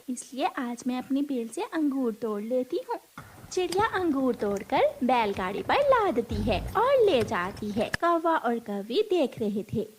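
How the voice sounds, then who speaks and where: A woman narrating a scripted children's cartoon story as the storyteller. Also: high voice.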